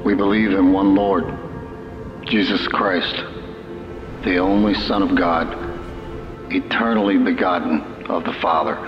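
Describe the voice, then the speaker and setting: A very deep male voice recites a creed slowly and steadily in church. The sound is roomy and a little dull, and loud music plays behind him. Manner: slow, measured recitation.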